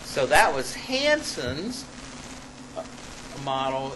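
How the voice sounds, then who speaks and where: A man lectures from a written academic paper at a slow, deliberate pace. He hesitates often, leans on key words and breaks off for informal asides. The classroom recording has clear background noise, and his voice sits at an average male pitch. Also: very animated. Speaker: lecturer presenting own paper.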